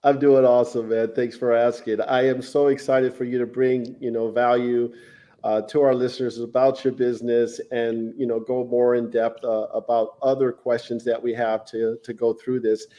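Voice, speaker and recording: A man's voice: mid-range voice, flat delivery, moderate pace, dark tone. A man hosting an interview podcast in an enthusiastic, affirming, conversational way. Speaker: podcast host.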